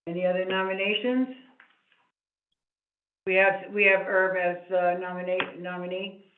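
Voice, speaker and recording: A soft-spoken woman runs a town committee meeting, pausing on fillers as she moves through the agenda. Her voice sits on the low side. The sound is tinny and phone-like, in an echoey room with a faint hiss. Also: little pitch movement. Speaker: committee chair.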